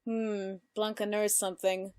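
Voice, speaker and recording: A woman casually commenting as she reacts to an animated episode. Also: mid-range voice, measured pace. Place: voice-over on cartoon playback. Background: music from the episode.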